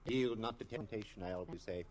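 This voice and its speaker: A man's voice: lowish voice, brisk, darkish tone, slight background noise, close to an everyday voice. An actor playing a fussy, genteel, whimsical male sidekick in a 1950s radio drama, theatrical and arch. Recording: old radio recording with clicks.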